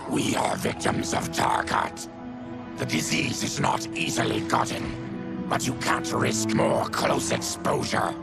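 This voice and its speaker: An actor playing a proud, embittered disfigured mutant leader with a gravelly growl in a video game cutscene. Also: male voice, lowish voice, big pitch swings, takes it slowly, loud music behind the voice, exaggerated voice. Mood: grim, bitter.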